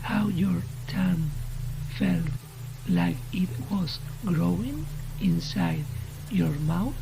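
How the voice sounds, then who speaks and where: A woman voicing a sinister radio entity in a horror story, taunting its victim with mock-friendly, unhurried questions. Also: lowish voice, vocal fry, exaggerated voice, rough recording. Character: sinister taunting radio voice that knows secret fears.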